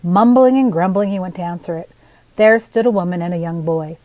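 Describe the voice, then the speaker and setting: A woman's voice: lowish voice, a little fast, darkish tone, dry recording. An older woman, narrating a children's story aloud with expression. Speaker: storybook reader.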